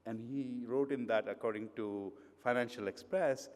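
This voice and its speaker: A man's voice: mid-range voice, normal speed, darkish tone. A middle-aged man with an Indian accent, giving a conversational conference talk full of fillers and restarts. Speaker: conference speaker. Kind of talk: conference presentation. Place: hotel conference hall. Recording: long hall reverb.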